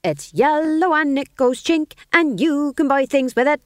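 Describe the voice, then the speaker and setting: A woman's voice: high-pitched, lively intonation, measured pace, dry recording, studio quality, voice pushed up. A woman voicing an eager young lad. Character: Tom John, eager young man out for fun.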